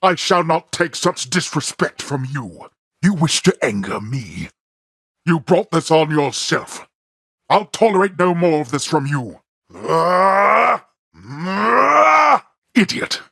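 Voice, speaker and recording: A man's voice: big pitch swings, takes it slowly, pushed and bright, no room sound, exaggerated voice. An actor playing a stern, proud god of the dead who scorns his son, speaking with cold, imperious contempt in video game voice lines. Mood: furious. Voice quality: deep, raspy, gravelly. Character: stern proud male god of the dead, a harsh disapproving father. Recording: dry close studio recording.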